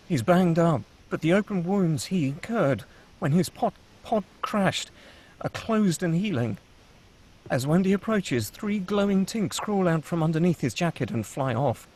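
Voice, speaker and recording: A man's voice: fairly high pitch, a little mellow, slightly rough, low-quality recording. A man reading screenplay action and scene headings as the narrator of a table read.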